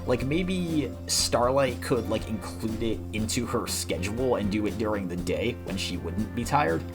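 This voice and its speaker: A man's voice: on the low side, lively intonation, a little slow, music in the background. A man hosting a casual fan fiction reading and reaction, talking in an informal, rambling, conversational way.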